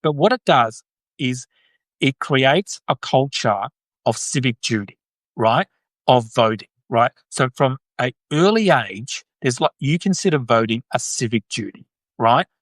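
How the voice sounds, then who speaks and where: An Australian man hosts a live video chat, answering viewers in a relaxed, rambling way full of "right?" and self-corrections. His voice sits at an ordinary middle pitch and comes across close and dry, with no room sound. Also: unhurried, no echo. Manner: chatty, lots of "right?" tags and restarts. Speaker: host.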